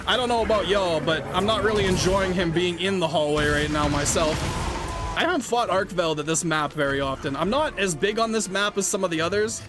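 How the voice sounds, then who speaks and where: A man's voice: high voice, brisk, music in the background. A young man with stuffy, allergy-congested speech, chatting casually and rambling with frequent laughs while commenting over gameplay as a gaming video host.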